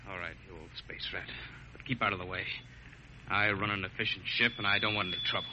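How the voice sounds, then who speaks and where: An actor playing a young, by-the-book spaceship captain in a 1950s radio drama, curt and commanding. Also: male voice, low-pitched, steady tone, fast, natural voice. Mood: grudging. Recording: muffled, hissy 1950 radio recording.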